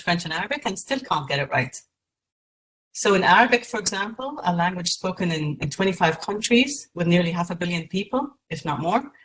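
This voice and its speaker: Woman, from Egypt, lowish voice, keynote talk, video call mic, measured with fillers. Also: poor audio. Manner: measured, many fillers and restarts. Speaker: keynote speaker, patient advocate. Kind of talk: lecture over video call.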